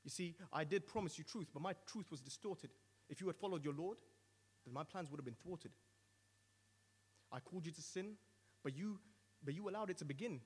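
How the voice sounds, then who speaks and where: Sly slithery devil, guy putting him on, quiet and smug, long echoey hall. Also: a little high, fairly quick, pauses often, husky. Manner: slithering, mocking, hushed.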